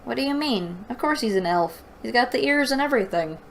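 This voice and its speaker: A woman's voice: on the high side, animated, a little fast, creaky, a bit bright, slight background noise, pitched above the performer's own voice. A woman voicing a blunt, impatient young male adventurer from a fantasy story. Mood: puzzled.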